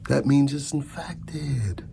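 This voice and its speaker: Middle-aged male for ASMR dentist roleplay: unhurried, creaky delivery, casual teasing patient chat, noisy close-up recording. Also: medium pitch. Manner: informal, hesitant, teasing chairside patter. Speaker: ASMR performer playing a dentist.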